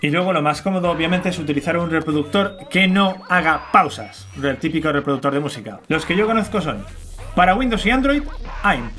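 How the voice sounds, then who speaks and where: A man's voice: fairly high pitch, expressive, fairly quick, loud music behind the voice. A man with a Spain Spanish accent presenting an informal tutorial in a colloquial, chatty way, with conversational asides.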